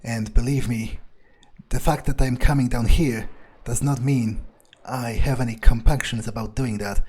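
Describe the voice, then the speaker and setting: A man improvising in character during a video game playthrough, thinking aloud bluntly and haltingly, with swearing. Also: mid-range voice, fairly even intonation, a little mellow, slightly rough. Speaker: video game player speaking as his character.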